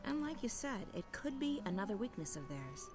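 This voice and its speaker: A woman voicing a level-headed, resolute rebel leader in a fantasy game, calm and decisive. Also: mid-range voice, fairly quick, music in the background, lightly acted. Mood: calm, focused.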